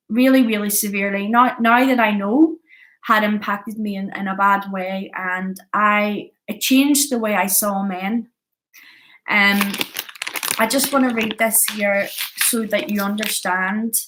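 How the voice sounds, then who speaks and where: A woman's voice: medium pitch, a bit bright, rough recording. A woman in her early thirties with a Belfast accent, candidly and earnestly telling her personal story, stressing points by repeating them. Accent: Belfast Northern Irish. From Belfast, Northern Ireland. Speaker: vlogger sharing a personal addiction story. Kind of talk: confessional personal storytelling, partly from notes.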